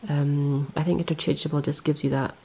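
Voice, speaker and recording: Low gravelly woman, rambling knitting chat. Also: fairly even intonation, fairly quick, mellow. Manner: rambling, self-correcting, lots of ums. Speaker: knitting vlogger. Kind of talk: casual show-and-tell. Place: indoors, close-up on hands and yarn.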